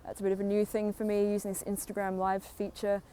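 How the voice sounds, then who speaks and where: A woman's voice: mid-range voice, completely flat delivery, quick pace, dark tone, smooth. A woman in her thirties from Australia with an Australian accent, a tour guide chatting through a live walking tour on her phone with many "uh" fillers. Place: sunny street outdoors. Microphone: phone microphone.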